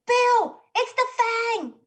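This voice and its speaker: Frightened dog sidekick, very high voice, slow, whining, eager, pleading, stage play. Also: male voice, exaggerated voice. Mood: excited alarm. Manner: pleading, excitable. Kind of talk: dramatized stage play reading.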